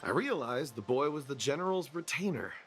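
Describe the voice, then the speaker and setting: A man playing a dazed, bewildered soldier in a video game, stammering and halting as he recounts events. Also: medium pitch, lively intonation, faint music behind, lightly acted. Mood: bewildered, shaken. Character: shaken, bewildered young male soldier recovering from possession. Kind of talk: dramatic video game dialogue.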